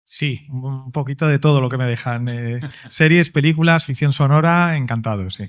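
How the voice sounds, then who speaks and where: Man, medium pitch, director, casual panel talk. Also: normal speed.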